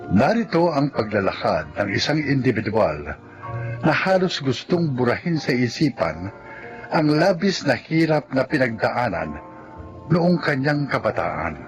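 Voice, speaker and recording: Male radio drama narrator. Mid-pitched, animated delivery, formal scripted introduction style. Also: measured pace, faint music behind.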